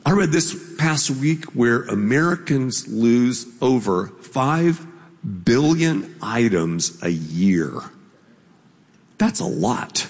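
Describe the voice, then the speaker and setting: A man preaching a sermon as pastor, telling a story in a conversational, playful way with asides to the congregation. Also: mid-range voice. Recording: long reverb of a large hall.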